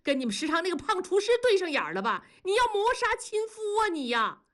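A woman's voice: big pitch swings, fast, a bit bright, exaggerated voice. A woman voicing a blustering, whining middle-aged husband in an audiobook, crude and defensive, firing off indignant questions. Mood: whining outrage. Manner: shrill tirades full of indignant rhetorical questions. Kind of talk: audiobook character dialogue.

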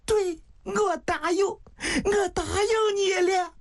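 A woman's voice: very high voice, lively intonation, very slow, pitched above the performer's own voice, heavily acted. A woman storyteller voicing a desperate, devoted young woman in a tearful, pleading way, for a dramatic audio story. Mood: sobbing, pleading.

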